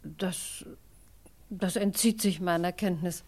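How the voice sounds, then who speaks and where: An actress playing a proper, guarded former secretary under questioning in a German radio crime drama, formal and defensive. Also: female voice, fairly low pitch, slow pace, darkish tone. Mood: hesitant.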